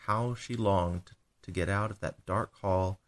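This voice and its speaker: A man's voice: low-pitched, steady tone, measured pace. A man reading a children's bedtime story as a scripted narration.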